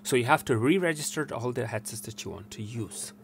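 An older man presenting a step-by-step technical tutorial in a halting, explanatory way. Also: mid-range voice. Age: older, around 60. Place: small cluttered indoor workroom. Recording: clear background room noise. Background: steady room noise.

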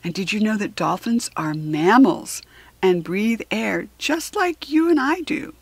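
Slow, creaky-voiced American woman reading to children. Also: mid-range voice, animated, no room sound. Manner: deliberate, instructive, simply explaining to a young listener. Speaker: children's book reader and host. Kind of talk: read-aloud for children with chatty asides.

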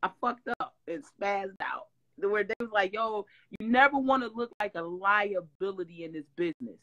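Guest: rough-voiced woman, 40s, lively storyteller on a home video call. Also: medium pitch, moderate pace, highs cut off. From Detroit. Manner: animated storytelling, loud swings, laughs. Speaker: interview guest, former reality-show singer. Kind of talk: casual chat. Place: home room with green wall backdrop, video call.

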